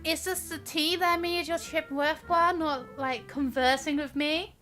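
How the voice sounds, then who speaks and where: A woman's voice: high-pitched, fairly even intonation, unhurried, pushed and bright. A woman live streaming a video game with casual, giggly, exclamatory commentary, reacting playfully to the dialogue. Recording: livestream with game music behind.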